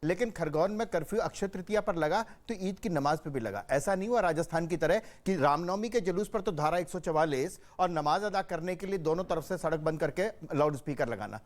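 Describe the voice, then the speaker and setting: A man's voice: on the high side, brisk, hardly any pauses. A man arguing as a political panelist in a heated TV debate, needling and insistently repeating his points.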